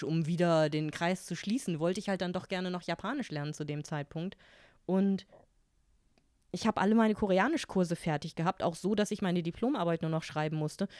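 A German-speaking woman in her forties, a guest telling lively stories from her life in a casual interview and acting out her own quoted thoughts. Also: lowish voice, no echo, studio quality.

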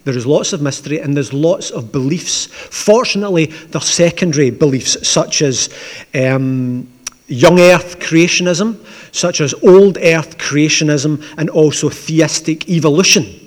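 Glaswegian pastor in a reverberant church. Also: male voice, fairly high pitch, measured pace. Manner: conversational, with asides and dry humour. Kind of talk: sermon with opening prayer.

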